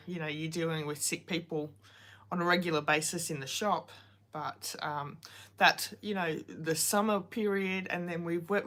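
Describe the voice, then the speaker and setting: A woman in her forties chats casually on a craft livestream, greeting viewers by name and wandering into rambling asides. Her voice sits a little low, and a cold has left her throat slightly sore. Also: fairly bright tone. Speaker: card-making livestream host.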